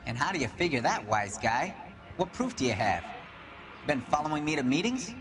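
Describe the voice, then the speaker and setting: A defiant working-class suspect from a 1940s crime game. His voice sits a bit high and swings widely, gruff and bitter. Music is clearly audible behind him. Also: big pitch swings, moderate pace. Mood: defensive. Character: defensive, bitter 1940s working-class male gas fitter. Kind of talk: dramatic video game acting.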